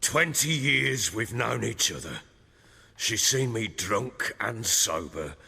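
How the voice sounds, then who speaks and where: An actor playing a middle-aged lord in a video game, a proud, hard-drinking, volatile man with a rough voice and a coarse, brooding, theatrical manner. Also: fairly low pitch, very animated, slow pace, pushed and bright, big-room sound, heavily acted. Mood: bitter, brooding. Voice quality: gravelly, rough.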